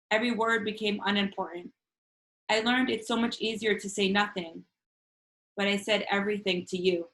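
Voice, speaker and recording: A woman's voice: medium pitch, fairly bright tone, low-quality recording. Woman reading her own poetry, earnest. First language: Spanish. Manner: measured, earnest reading with casual chatty asides. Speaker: featured poet. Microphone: video call.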